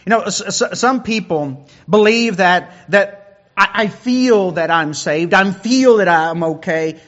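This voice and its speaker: A man's voice: high voice, lively intonation, slow, fairly bright tone, highs cut off. A man preaching a sermon who often repeats words as he builds a point.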